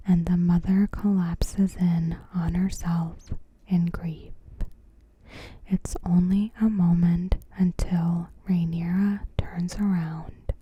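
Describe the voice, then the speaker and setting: Woman, ASMR host, breathy unvoiced whisper, very slow and deliberate, scripted recap, extremely soft mellow tone. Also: fairly low pitch.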